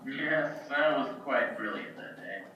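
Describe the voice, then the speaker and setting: A man's voice: medium pitch, animated, a little slow, natural voice. A man playing a boastful, self-absorbed genius inventor in a children's puppet show, theatrical and self-assured. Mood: confident. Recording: echoey room, distant mic, dull, some noise.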